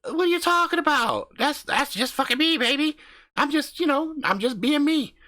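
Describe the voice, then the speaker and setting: A man voicing a loud, crude, profane husband who hunts ghosts with his wife, swaggering and brash. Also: extremely high pitch, expressive, moderate pace, voice pushed up, exaggerated voice. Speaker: comedic character.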